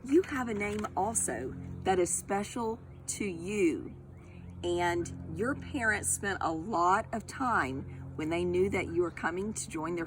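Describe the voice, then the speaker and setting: A woman reading a picture book aloud to young children, expressive and deliberate, pausing to put questions to them directly. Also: fairly low pitch. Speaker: storytime reader, teacher of young children.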